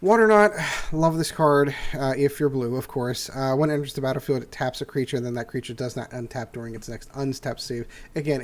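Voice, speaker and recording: Older man, nasal from a cold. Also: medium pitch. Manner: rambling with many "uh" fillers and restarts. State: congested from a cold. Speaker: host. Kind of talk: casual card game review.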